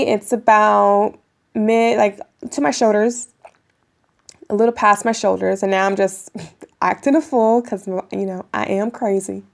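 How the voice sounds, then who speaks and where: A young woman with a raspy voice from a cold, chatting casually to viewers while demonstrating a hair tutorial at home. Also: fairly high pitch, a little mellow. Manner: chatty, addresses viewers as you guys, many ums. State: raspy voice getting over a cold. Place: bedroom at home, indoors.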